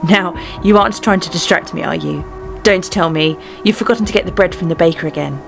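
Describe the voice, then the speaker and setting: Casting: woman as loving young princess, slightly low voice, teasing warmth, light music underneath. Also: fairly quick, vocal fry. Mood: affectionate teasing. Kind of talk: fan dub drama acting.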